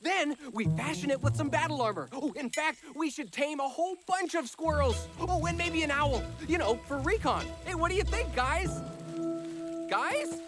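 A woman voicing an eager, overconfident young boy adventurer in a cartoon, with an animated, rambling, cocky way of talking. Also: high-pitched, normal speed, music in the background. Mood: excited scheming. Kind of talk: cartoon voice acting.

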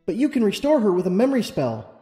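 A man voicing a confident, helpful female scholar pony in a storybook reading, explaining things briskly. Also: sits high, wildly swooping pitch, warm tone, pitched above the performer's own voice. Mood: encouraging. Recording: long reverb, music underneath.

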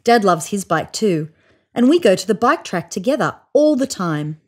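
A woman in her thirties reading a children's picture book aloud in an expressive, child-directed way. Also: medium pitch, normal speed, breathy edge. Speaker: storybook reader. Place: small room indoors, seated on a couch.

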